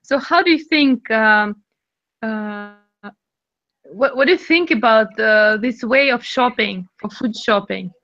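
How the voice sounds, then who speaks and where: A woman's voice: fairly high pitch, takes it slowly, a bit bright. Woman hosting a club over a dull call. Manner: friendly, laughs often, fillers. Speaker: speaking club host. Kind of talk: casual chat, leading discussion. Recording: dry, dull lo-fi audio.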